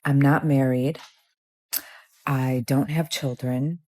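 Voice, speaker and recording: A woman's voice: rumbling low, fairly even intonation, takes it slowly, warm tone. A woman in her forties talking candidly and reflectively to the camera, with some hesitation. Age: 40s.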